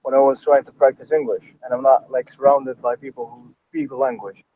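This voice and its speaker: A man's voice: mid-range voice, steady tone, brisk, slight background noise. A man from Algeria, an Arabic speaker, answering as a lecture participant over a video call, hesitant and full of fillers. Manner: hesitant, many "like" and "you know" fillers. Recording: dull, dry online call audio.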